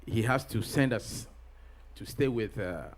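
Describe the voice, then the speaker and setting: A middle-aged man from Ghana, a pastor being interviewed, answering in a halting way with many pauses and restarts. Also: mid-range voice, slight background noise. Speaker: pastor and guest.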